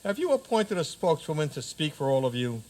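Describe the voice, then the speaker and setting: A man plays a pompous fairy tale king in a community radio play. The voice is a little high for a man and swings widely in pitch, formal and easily sidetracked, with faint noise on the recording. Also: very animated, fast talker, darkish tone. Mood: officious. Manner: formal, declamatory. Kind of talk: community radio theater acting.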